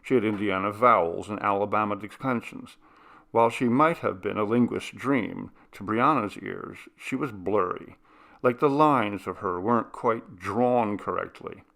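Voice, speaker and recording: A man's voice: on the low side, measured pace, vocal fry, fairly bright tone. A man narrating a ghost story as a scripted, dramatic audiobook reading.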